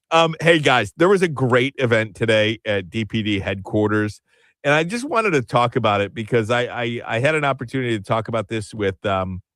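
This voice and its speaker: A man's voice: mid-range voice, fairly bright tone, no room sound, studio quality. A man hosting an afternoon radio talk show, chatty and self-deprecating. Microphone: studio microphone.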